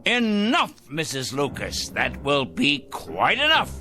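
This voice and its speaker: A pompous self-righteous crank. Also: male voice, fairly high pitch, slow, exaggerated voice. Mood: sanctimonious. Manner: pompous, sanctimonious, lively. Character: self-righteous middle-aged male letter-writing crank. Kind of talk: 1960s TV drama acting. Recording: old TV soundtrack with faint music.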